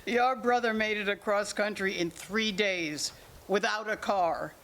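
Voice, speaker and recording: A woman's voice: mid-range voice, fairly even intonation, measured pace, fairly bright tone, a little hiss or hum. A woman in a staged play reading, playing a blunt mother of grown children with dry sarcasm. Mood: sarcastic.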